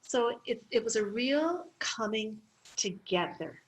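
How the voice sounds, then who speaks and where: Middle-aged woman guest, a medical clown; lively, chatty anecdotes with laughter. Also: a little high, takes it slowly, rough recording. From Canada. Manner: lively storytelling with frequent laughs and fillers. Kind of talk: interview conversation.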